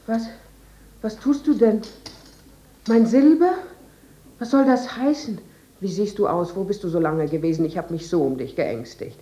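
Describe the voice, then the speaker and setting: An actress playing the anxious but resolute wife of a drunkard, speaking with earnest emotion in a 1950s radio drama. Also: female voice, mid-range voice, normal speed, long pauses, mellow, roomy sound, lightly acted. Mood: anxious, pleading.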